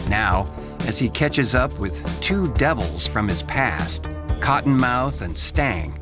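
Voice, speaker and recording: An actor playing a grave, portentous storyteller, delivering dramatic scripted narration for an audio drama. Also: male voice, mid-range voice.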